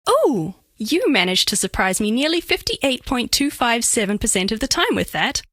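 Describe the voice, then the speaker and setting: A woman's voice: a little high, sing-song, normal speed, fairly bright tone, no echo, clean studio sound. A woman voicing a bashful, flirtatious female alien made of living diamond in a video game, speaking in precise, oddly formal, earnest phrases. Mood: affectionate, matter-of-fact. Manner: precise, stilted formal diction, earnest, coyly playful. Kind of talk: scripted game character voice lines.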